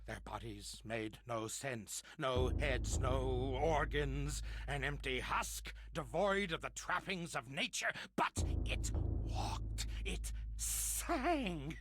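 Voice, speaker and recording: A man's voice: mid-range voice, animated, takes it slowly, hardly any pauses, background noise. An actor voicing a tormented, half-mad man raving in horror, in a grandiloquent, theatrical monologue for a horror game. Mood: horrified awe. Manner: grandiloquent, dramatic, swinging from whisper to shout.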